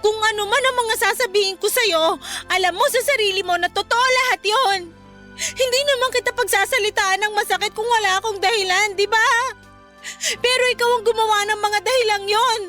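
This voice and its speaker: A very high-pitched, scolding Filipino wife. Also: female voice, brisk, pushed and bright. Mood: hurt, reproachful. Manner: scolding, insistent, blunt. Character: angry, reproachful young wife and mother. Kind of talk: Filipino radio drama acting. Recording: music bed behind the voice.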